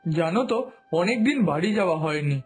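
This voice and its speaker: A man voicing a homesick, hardworking son in a cartoon drama, earnest and complaining, with a husky voice. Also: on the high side, expressive, a little fast, faint music behind. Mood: weary, homesick. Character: homesick, hardworking son working far from home. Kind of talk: Bengali cartoon drama dubbing.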